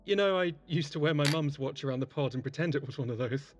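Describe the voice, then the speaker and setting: An actor plays a cheeky colleague aboard a space clinic. The delivery is breezy, joking and teasing, in audio drama style, with a mid-pitched voice over faint music. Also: fast, highs cut off, lightly acted. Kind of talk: sci-fi audio drama acting.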